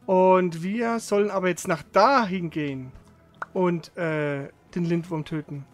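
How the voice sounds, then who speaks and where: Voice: mid-range voice, unhurried. A streamer giving casual live commentary on a video game, thinking aloud and asking questions while playing. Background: game music.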